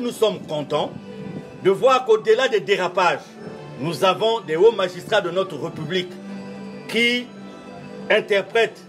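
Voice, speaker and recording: A high-voiced man speaking French as a second language, delivering a formal interview statement as a church official. He talks in a measured, oratorical way, repeating phrases for emphasis. Faint music plays behind him. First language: not French.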